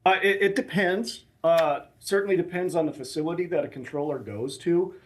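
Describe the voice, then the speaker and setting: An American man speaking as an academic expert in a news interview, explaining things in a measured, hesitant way. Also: on the high side. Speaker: assistant professor of air traffic management, expert guest. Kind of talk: live news interview answers.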